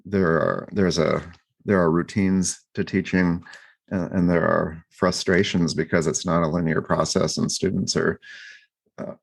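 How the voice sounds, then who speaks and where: A man co-hosting a podcast, talking through his thoughts in a reflective, halting way with many restarts and fillers. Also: low-pitched, little pitch movement, vocal fry, breathy edge. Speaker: podcast co-host. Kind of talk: reflective casual discussion.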